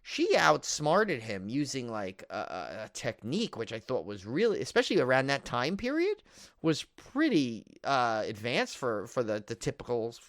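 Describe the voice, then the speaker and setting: A man with an average-pitched voice, recorded close and dry. He chats as a podcast co-host discussing films, enthusiastic and rambling, often stopping midway to rephrase himself. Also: wildly swooping pitch, fairly bright tone, dry recording. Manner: rambling, halting, self-correcting, enthusiastic. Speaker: horror movie podcast co-host. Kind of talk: casual film discussion.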